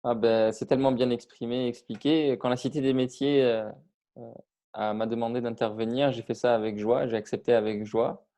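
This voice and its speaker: Dark-voiced Iranian-born man, hesitant. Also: medium pitch. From Iran. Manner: thoughtful, many hesitations and restarts. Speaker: public speaking trainer. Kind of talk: casual interview on video call.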